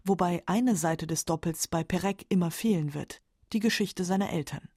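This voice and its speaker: A woman's voice: fairly low pitch, normal speed, darkish tone, slightly rough, dry recording, clean studio sound. A woman narrating a scripted radio documentary in a composed, factual way.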